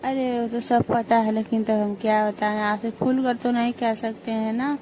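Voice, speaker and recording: A woman's voice: on the high side, flat delivery, normal speed, mellow, close to an everyday voice. A woman with an eastern Hindi-belt accent playing a coy but frank young married sister-in-law, chatting casually in a staged phone call. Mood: frank, advising. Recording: noisy phone call recording.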